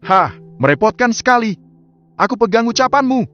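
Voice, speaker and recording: A man voicing a scornful businesswoman wife who is fed up with her husband, asking sharp, accusing questions. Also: extremely high pitch, lively intonation, moderate pace, pitched above the performer's own voice. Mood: bitter. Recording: slightly muffled, soft music behind.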